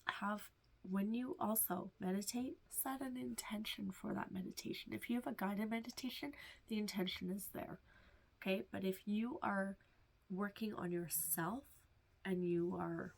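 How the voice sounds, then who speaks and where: A woman with a stuffed-up, nasal voice gently teaching meditation to beginners, reassuring and sometimes dropping to a whisper. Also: mid-range voice, fairly even intonation, a little slow, gravelly. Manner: soft, reassuring, drifts into whispers. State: stuffed-up nose. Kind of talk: casual instructional talk.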